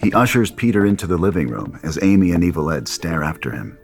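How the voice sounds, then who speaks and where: Male narrator, deep, expressive, deliberate, scripted dramatic storytelling, soft music underneath. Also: creaky. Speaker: stage-direction narrator.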